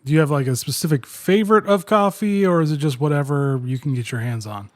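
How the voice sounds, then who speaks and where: A man's voice: fairly high pitch, brisk, no room sound, clean studio sound. A man hosting a podcast, introducing a guest and asking friendly, conversational questions. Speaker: podcast host.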